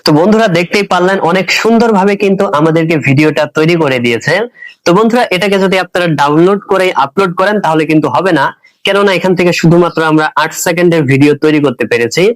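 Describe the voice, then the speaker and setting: A man's voice: high-pitched, fast talker, no echo, low-quality recording. A man hosting a tech tutorial, narrating step by step and addressing viewers directly as friends.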